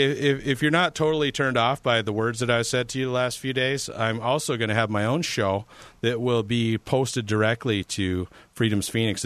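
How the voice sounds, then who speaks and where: A man's voice: mid-range voice, a bit bright, no room sound. A man filling in as a talk radio host, rambling casually with a sarcastic edge and frequent restarts.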